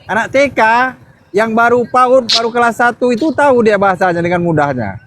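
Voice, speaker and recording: A man with a very high voice cuts into a live debate, mocking the other side and talking down to them. Faint noise hums behind him. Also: lively intonation, fairly quick, fairly bright tone.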